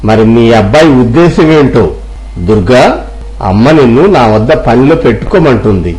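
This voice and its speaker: A man playing a dutiful village elder and employer with a measured, authoritative manner, in an acted moral story. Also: medium pitch, lively intonation, darkish tone, close to an everyday voice, poor audio. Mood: consoling.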